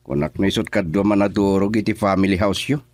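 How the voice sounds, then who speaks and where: A man's voice: low voice, brisk, a little mellow, dry recording, close to an everyday voice. A man with an Ilocano accent playing a chatty, teasing man in a radio drama. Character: casual teasing man named Cardo.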